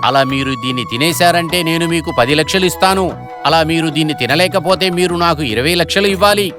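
A man voicing excitable village men in a dubbed animated moral story. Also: on the high side, brisk, over music, close to an everyday voice. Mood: challenging.

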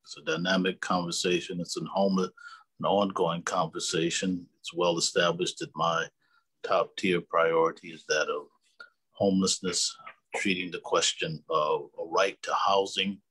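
A man's voice: low-pitched, flat delivery, a little slow, a bit bright, slightly rough. A man speaking as a city councilmember, giving brief formal remarks at an online meeting.

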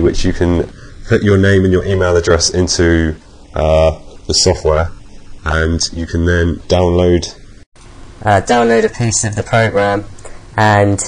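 Man's voice through a pitch-shifting voice changer. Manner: rambling, with many ums and restarts. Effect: software voice changer shifting pitch. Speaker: software reviewer. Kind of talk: casual screen-recording tutorial.

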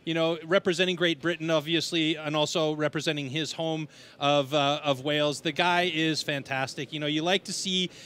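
A man's voice: on the high side, steady tone, moderate pace, fairly bright tone, a little hiss or hum. A man giving conversational live sports commentary, talking at length in an emphatic manner.

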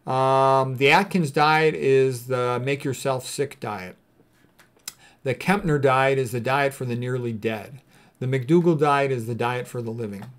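A man's voice: medium pitch, a little slow. Middle-aged American man, blunt informal lecturer. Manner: opinionated, blunt, colloquial. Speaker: doctor lecturing on nutrition. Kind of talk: informal lecture, reading quotes and commenting.

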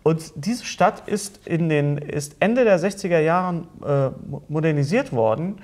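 A man's voice: a little high, unhurried. A middle-aged man chatting casually as a talk show host, rambling along with joking asides. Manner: jokey, rambling, animated. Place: indoor studio set at a table.

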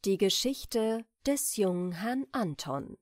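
Slow, gentle woman reading bedtime tales. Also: mid-range voice, dry recording, clean studio sound. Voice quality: soft. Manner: expressive, unhurried storytelling. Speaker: audiobook narrator.

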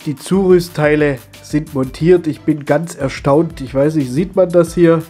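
A middle-aged man, stuffy and husky from a cold, chatting his way step by step through a hands-on hobby tutorial. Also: fairly high pitch, unhurried, mellow, soft background music. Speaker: model railway hobby video host.

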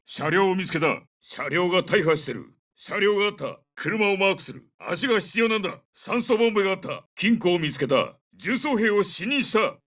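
A man playing a gruff, commanding special-forces soldier in a video game, giving clipped, authoritative military callouts. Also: high voice, animated, moderate pace, hardly any pauses, a bit bright, far from the mic. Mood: focused, businesslike. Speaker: game character voice lines.

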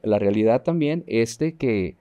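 Middle-aged man from Honduras, guest engineer, warm earnest studio voice. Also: medium pitch, measured pace. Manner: earnest, animated, gesturing while talking. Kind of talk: studio TV interview. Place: dark TV studio. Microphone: lapel mic.

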